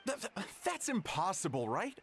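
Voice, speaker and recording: Flustered, high-pitched young tribesman. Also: wildly swooping pitch, normal speed, gravelly, fairly bright tone, slightly rough, heavily acted. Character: young male tribesman, flustered, incredulous, excitable. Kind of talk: animated video game dialogue.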